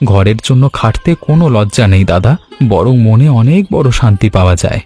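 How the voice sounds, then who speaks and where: A man's voice: fairly low pitch, animated, a little fast, mellow, husky, soft background music. A man voicing an agreeable, reassuring village friend in a Bengali cartoon story, speaking earnestly. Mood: agreeable, content. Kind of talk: Bengali animated moral story dialogue.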